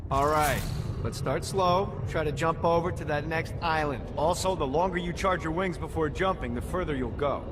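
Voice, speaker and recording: An actor playing a cocky, foul-mouthed, sardonic guide and ally in hell, briefing the player in a video game. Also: male voice, on the high side, normal speed, background noise, lightly acted. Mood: sardonic, instructive. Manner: irreverent, casual, sardonic, swearing.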